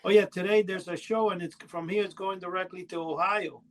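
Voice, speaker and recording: Guy with a pretty high voice, podcast cohost, rambles and restarts his stories. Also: fairly even intonation. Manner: rambling, halting storytelling with false starts. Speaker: podcast co-host. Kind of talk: casual chat.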